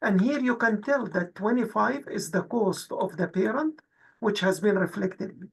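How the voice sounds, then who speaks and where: A woman instructor with a husky voice, explaining worked exercises methodically in a tutorial narration. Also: mid-range voice, moderate pace. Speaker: accounting instructor.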